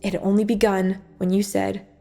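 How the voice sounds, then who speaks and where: Female spoken-word reader for a church monologue: measured, dramatic phrasing, medium pitch, music underneath. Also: level tone, gravelly, dark tone. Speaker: church worship speaker. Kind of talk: scripted spoken-word monologue, rhymed devotional poetry. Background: music behind the voice.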